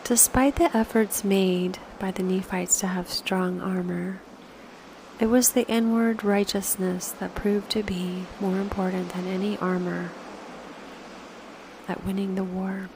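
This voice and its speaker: A woman leading a slow guided meditation, speaking softly and unhurriedly in short phrases with pauses, often posing reflective questions. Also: mid-range voice, fairly even intonation, noisy background.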